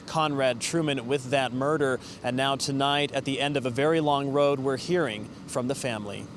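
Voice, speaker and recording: A man's voice: medium pitch, normal speed, a little hiss or hum. A man delivering a scripted television news report as a field reporter.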